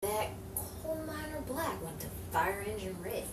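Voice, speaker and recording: A woman's voice: medium pitch, big pitch swings, a little slow. A woman playing a plucky, wry 1940s newspaper reporter in a noir radio play, with theatrical, musing delivery. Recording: echoey, distant, noisy room recording.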